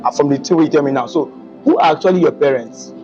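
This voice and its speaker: A fast-talking man hosting a talk show in a Nigerian Pidgin accent, his voice fairly high and full of quick exclamations. The recording is a little dull and lo-fi, with music running clearly underneath. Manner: animated, exclaims wow often, mixes Pidgin and English. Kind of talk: casual interview chat.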